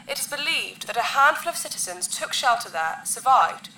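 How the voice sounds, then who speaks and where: A woman playing a commanding, solemn insectoid alien queen in a sci-fi audio drama, with formal, imperious and measured phrasing. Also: a little high, very animated, bright, forceful tone, reverberant, recorded from a distance, slight background noise, full character voice, low-quality recording. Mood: grave.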